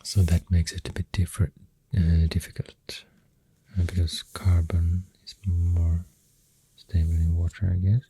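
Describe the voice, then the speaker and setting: An ASMR host with a Swedish accent, rambling softly and hesitantly in a breathy voice that often drops to a whisper, close to the mic over pencil sounds. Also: sits low, little pitch movement, no echo, poor audio. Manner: hesitant, unhurried musing. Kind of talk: soft-spoken ASMR rambling. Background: pencil drawing and clicking sounds.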